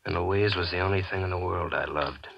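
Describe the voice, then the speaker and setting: A man's voice: sits low, brisk, creaky, slight background noise. An actor playing a bitter, jilted small-time gambler who tells his own story in hardboiled 1940s radio style. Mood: bitter, determined. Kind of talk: 1940s radio acting, first-person crime narration. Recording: dull vintage radio recording, faint music.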